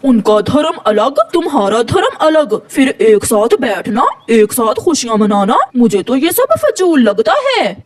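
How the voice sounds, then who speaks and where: Woman playing a sneaky owl guy talking folks round, cartoon, very expressive pitch. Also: unhurried, few pauses. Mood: persuasive. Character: plotting male owl soldier, persuasive troublemaker. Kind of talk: cartoon character acting.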